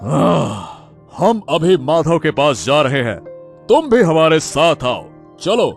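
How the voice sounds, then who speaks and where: A man playing an agitated, commanding king in a cartoon story, speaking in a loud, urgent, imperious way. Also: fairly high pitch, very animated, slow pace, creaky, faint music behind, full character voice. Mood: outraged, resolute.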